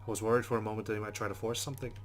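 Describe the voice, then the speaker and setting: A man's voice: fairly low pitch, level tone, racing, natural voice. Man reading as rueful young woman, put-on Irish accent. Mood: wry. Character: young Irish woman narrating her rueful private thoughts. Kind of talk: first-person visual novel narration.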